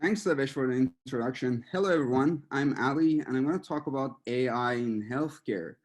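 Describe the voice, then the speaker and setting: Iranian-born man giving a relaxed webinar talk. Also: medium pitch, brisk. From Iran. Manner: relaxed, searching for words, with small laughs. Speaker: machine learning researcher giving a webinar.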